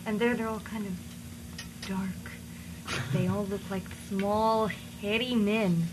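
A gossipy matron drawls catty judgments, as a woman puts her on. Her pitch stays in a middle range. Loud hiss covers the old radio tape. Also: fairly even intonation, slow pace, natural voice. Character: fussy gossipy matron named Tiny, catty.

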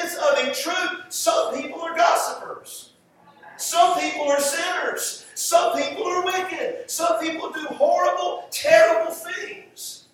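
A man with a Southern US accent preaching a sermon emphatically, repeating phrases and pausing for effect. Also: very high-pitched, fairly bright tone. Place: large echoey room, preaching to a congregation. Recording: echoey room, distant mic, faint noise.

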